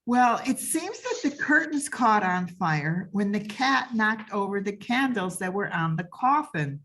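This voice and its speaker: Woman as a slow, folksy bearer of bad news. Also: mid-range voice, expressive, a bit bright. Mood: matter-of-fact. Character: folksy, blithely apologetic servant breaking bad news. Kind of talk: old-time radio comedy acting.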